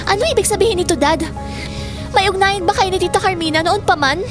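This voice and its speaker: Actress as emotional young Filipino daughter, high-pitched, suspicious and demanding, radio-drama style with music underneath. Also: female voice, fast. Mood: angry, accusing. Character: distraught young daughter, suspicious and accusing of father. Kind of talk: Filipino audio drama acting.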